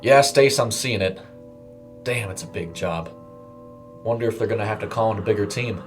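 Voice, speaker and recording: A man voicing a gruff older sewer worker. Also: fairly low pitch, normal speed, music in the background, close to an everyday voice. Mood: matter-of-fact.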